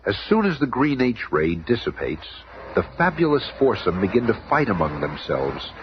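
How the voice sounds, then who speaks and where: An actor as a dramatic narrator, giving emphatic scripted narration in a 1970s radio serial. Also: male voice, lowish voice, big pitch swings, moderate pace. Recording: muffled, noisy old radio recording.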